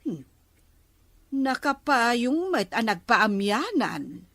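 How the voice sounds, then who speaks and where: A gossipy village neighbor in a comedy radio drama. Her voice is high and lively in swing, with slow, drawn-out delivery in a roomy echo. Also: exaggerated voice. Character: gossipy village woman chatting with her female friends.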